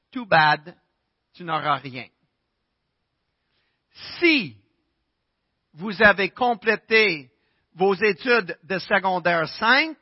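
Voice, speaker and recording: English-speaking Canadian pastor preaching in French, slow and deliberate, muffled close sound. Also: male voice, high-pitched, no room sound. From Winnipeg, Canada. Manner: conversational preaching with rhetorical questions. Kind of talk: sermon.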